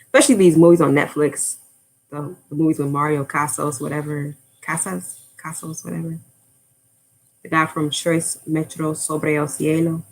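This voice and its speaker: American woman, low voice, loose rambling livestream chatter. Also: level tone, normal speed, poor audio. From United States. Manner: rambling, drifts off topic, frequent fillers. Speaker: language-learning YouTuber. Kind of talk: casual livestream chat.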